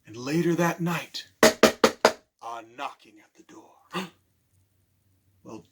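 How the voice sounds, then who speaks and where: A man reading aloud as a children's storytime librarian, theatrical, with dramatic pauses and hushed moments, putting questions to young listeners. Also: a little high, loud music behind the voice.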